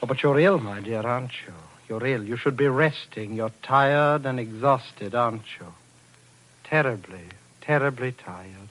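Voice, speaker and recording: A man's voice: mid-range voice, measured pace, a bit bright, close to an everyday voice, low-quality recording. Male actor as sinister husband, silky courtesy, formal diction, coaxing, domineering, 1940s radio drama. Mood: coaxing, hypnotic. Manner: suavely polite, formal, coaxing.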